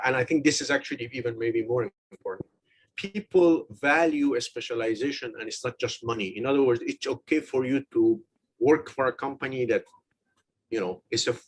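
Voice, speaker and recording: A man's voice: medium pitch, poor audio. Hesitant Iranian-born man in an interview. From Iran. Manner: hesitant, many fillers and restarts. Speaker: interviewee, business professor. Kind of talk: casual interview talk.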